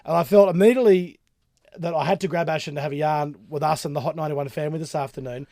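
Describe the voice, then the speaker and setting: A man's voice: on the high side, steady tone, fast talker, mellow, dry recording, clean studio sound. An Australian man hosting a chatty radio interview in an enthusiastic, matey way. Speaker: radio host.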